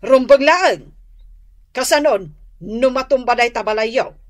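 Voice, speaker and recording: A woman's voice: on the high side, unhurried, lightly acted. An actress playing an indignant, complaining older neighbour woman with an Ilocano accent, stern and griping in a radio comedy drama. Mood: indignant, threatening. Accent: Ilocano Filipino.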